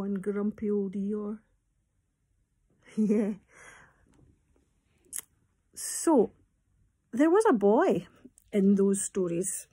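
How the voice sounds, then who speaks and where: A Scottish woman in her fifties talking and reading to young children at storytime, expressive, in simple phrases with questions to the listeners. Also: on the high side. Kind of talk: storytime talk and poem reading for small children. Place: small room at home, indoors. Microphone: phone or tablet at arm's length.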